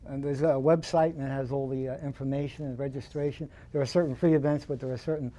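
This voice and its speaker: A man's voice: medium pitch, steady tone, fast talker, mellow. A man hosting a TV studio interview, encouraging his guests and often answering "that's great". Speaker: TV host.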